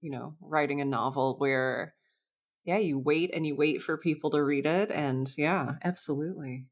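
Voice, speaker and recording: Lady podcast host w a deep-ish voice, chatting casually, thinks out loud and rephrases. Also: moderate pace. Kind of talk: casual interview chat.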